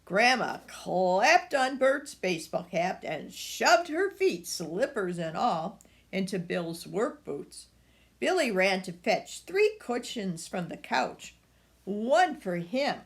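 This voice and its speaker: Older lady doing storytime for kids, slow pace, voice swings up and down a lot. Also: mid-range voice, sing-song. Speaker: storybook reader. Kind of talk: expressive children's read-aloud with asides to listeners.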